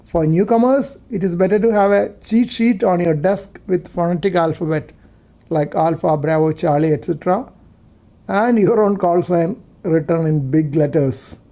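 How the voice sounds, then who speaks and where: A man narrates a scripted tutorial. His voice sits notably high for a man, yet its tone is dark and mellow. He presents the material as an instructor guiding beginners. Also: normal speed. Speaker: amateur radio instructor.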